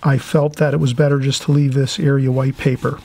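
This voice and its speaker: A man's voice: mid-range voice, flat delivery, fairly quick, vocal fry, warm tone, a little hiss or hum. A man giving an informal watercolor tutorial as the channel's host, rambling a little and often rephrasing himself. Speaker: painting instructor, channel host. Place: indoors at a painting table.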